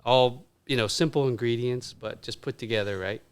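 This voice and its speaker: A man's voice: medium pitch, normal speed, smooth. A man in his fifties from Vietnam, a guest on a casual podcast, talking earnestly and often saying "you know.". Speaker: podcast guest, dentist and restaurant owner.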